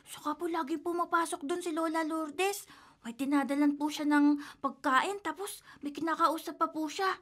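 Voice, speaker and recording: A scared little boy in a radio drama, polite but insistent. The voice is very high and bright. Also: fairly even intonation, normal speed. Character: frightened young boy, the grandson.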